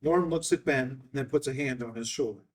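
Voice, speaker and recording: Guy reading screenplay stage directions, medium pitch, bit far from the mic. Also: brisk. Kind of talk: scripted screenplay narration. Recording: distant microphone.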